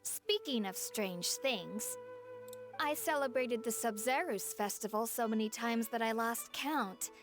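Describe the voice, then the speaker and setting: A woman plays a proud young female student in a video game. Her voice is fairly high and her delivery haughty and defiant, over faint music. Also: measured pace, natural voice. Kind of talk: scripted video game dialogue.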